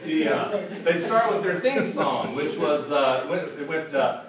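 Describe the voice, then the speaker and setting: A man in his forties, an author reading from his own book to an audience in a school hall, telling it in a lively, humorous way with asides. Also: on the high side, measured pace, over a noisy crowd. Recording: echoey hall, distant mic, faint hiss.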